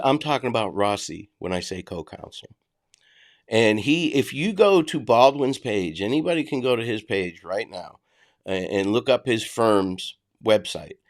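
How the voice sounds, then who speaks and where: A middle-aged man with a Boston accent, hosting a casual livestream, reading and answering chat in a blunt, conversational way. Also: lowish voice. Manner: blunt, conversational, frequent restarts.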